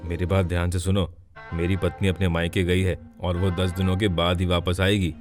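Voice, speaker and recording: Deep-voiced scheming villain played by a man. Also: little pitch movement, moderate pace, faint music behind, natural voice. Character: scheming male villain, gambler and bandit. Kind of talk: dramatised Hindi moral story.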